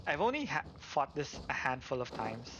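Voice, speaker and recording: A man's voice: medium pitch, sing-song, moderate pace, noisy background. A man hosting a game livestream, thinking aloud hesitantly in casual live commentary.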